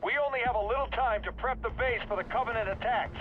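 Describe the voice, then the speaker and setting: A man playing a gruff, blunt army sergeant barking urgent orders in a military game. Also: extremely high pitch, fast talker, dull audio, very noisy. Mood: urgent, commanding. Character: gruff, blunt male army sergeant leading troops in battle. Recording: loud battle music, artillery, radio and engines.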